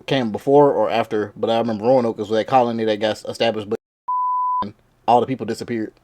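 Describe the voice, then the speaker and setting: A man's voice: fairly low pitch, quick pace, background noise. A man playing in a quiz with friends, talking in loose, casual speech and swearing freely. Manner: animated, laughing, swears casually. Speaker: quiz contestant. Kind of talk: casual banter among friends.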